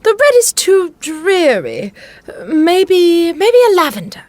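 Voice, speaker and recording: A woman's voice: extremely high pitch, wildly swooping pitch, takes it slowly, a little mellow, no room sound, exaggerated voice. A woman playing a middle-aged widowed queen in a modern audio drama, regal and genteel, fussy and pointed. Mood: fussy, distracted.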